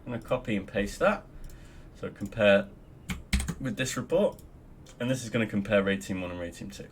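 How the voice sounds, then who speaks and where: Low-voiced Australian man, rambling gamer tutorial. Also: lively intonation, fairly quick, slight background noise. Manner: rambling and offhand, frequent ums, casual swearing. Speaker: guild member teaching raid logs. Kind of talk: casual screen-recorded tutorial.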